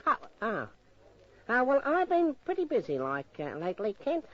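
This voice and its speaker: An actor playing a shifty, slangy small-time informant with an Australian accent and a hesitant, wheedling way of talking, in old-time radio style. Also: male voice, very high-pitched, wildly swooping pitch, normal speed, exaggerated voice. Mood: breezy, evasive. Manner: hesitant, stammering, chuckling, slangy. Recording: dull vintage radio recording.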